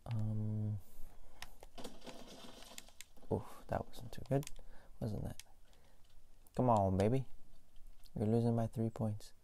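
A man playing a playful, affectionate, clingy young boyfriend in a soft, intimate, teasing ASMR roleplay, with keyboard clicks behind him. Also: fairly low pitch, steady tone, slow. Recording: faint keyboard and mouse clicking noise. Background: game sounds, keyboard typing and clicking.

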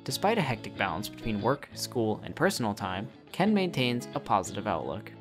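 Lively male documentary narrator. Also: on the low side, faint music behind. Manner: measured storytelling.

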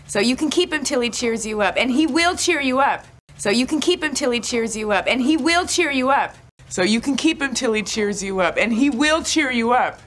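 An upbeat friend with a fairly high voice. Faint room ambience sits behind her. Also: animated, normal speed, fairly bright tone, a little hiss or hum. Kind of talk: sitcom dialogue.

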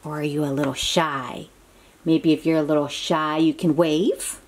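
A woman's voice: sits low, steady tone, moderate pace. A woman reading a picture book aloud to young children in an encouraging, exclaiming way, with chatty asides and questions to the listener.

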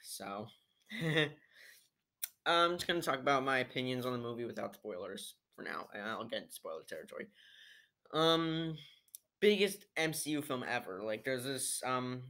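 Teen male vlogger, clean-toned and medium-pitched, talking off the cuff in a halting, rambling way, as in a homemade webcam review. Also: animated, sharp tone. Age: teenager. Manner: hesitant, rambling, trailing off. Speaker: amateur video reviewer. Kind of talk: casual unscripted movie review vlog. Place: living room at home, indoors.

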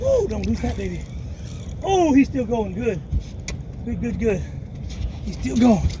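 Excited high-voiced man whooping on a boat. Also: unhurried. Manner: whooping, hollers and repeats himself. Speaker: fishing vlog host. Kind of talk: excited on-the-water commentary. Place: small open boat on a wide river delta. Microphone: action camera on the boat. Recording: noisy outdoor action-cam audio. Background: wind, water and boat noise.

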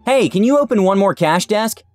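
A man putting on a shrill demanding rude woman. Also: expressive, brisk, dry recording, soft background music. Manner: demanding, accusing. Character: rude shouting entitled woman customer.

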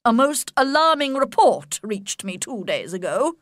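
A woman's voice: fairly high pitch, big pitch swings, a bit bright, no echo, studio quality, voice pushed up. A woman putting on a haughty, stiff old aristocrat. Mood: angry. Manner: imperious, slow and emphatic.

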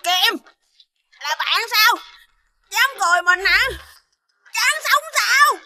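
Shrill, feisty cartoon child. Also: female voice, expressive, takes it slowly, pushed and bright, slight background noise, heavily acted. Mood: angry, suspicious. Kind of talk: animated series dubbing.

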